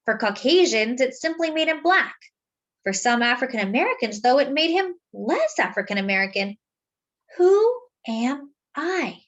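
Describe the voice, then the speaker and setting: A woman reading a children's picture book aloud, with dramatic, deliberate phrasing and drawn-out words. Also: high voice, very animated, muffled recording. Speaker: children's story reader.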